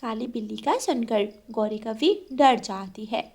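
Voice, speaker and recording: High-voiced woman story narrator. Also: unhurried, a little mellow. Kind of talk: scripted children's story narration.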